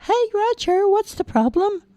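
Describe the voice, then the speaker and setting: A woman doing a squeaky giggling tiny magic man. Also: sing-song, unhurried, mellow, dry recording, exaggerated voice. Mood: cheerful. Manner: chirpy, giggling.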